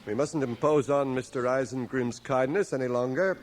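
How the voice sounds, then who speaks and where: An actor playing an older schoolmaster and scholar in a radio drama, speaking in a dry, formal, courteous and donnish way. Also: male voice, medium pitch, normal speed, darkish tone, slight background noise, natural voice. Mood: courteous, brisk. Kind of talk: radio drama acting and narration. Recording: 1980 radio drama, cassette transfer.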